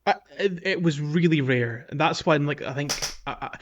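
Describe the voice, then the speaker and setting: A man's voice: on the high side, normal speed, muffled recording. A man hosting a podcast, chatting casually about a video game in a conversational, slightly hesitant way. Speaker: podcast host.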